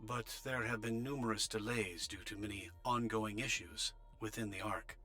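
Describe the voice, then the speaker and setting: An actor playing a formal male official delivering a measured, bureaucratic scripted message in a video game. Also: lowish voice, steady tone, bright, forceful tone. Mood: formal, solemn. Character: formal male official of an underground refuge giving orders. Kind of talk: scripted voice transmission, formal address.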